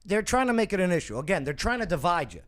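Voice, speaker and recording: An emphatic male talk show host with a fairly high, lively voice that rises and falls a lot. He drives his points hard, checking in with his panel as he goes. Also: fast talker. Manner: emphatic, repeats "okay?" and "right?".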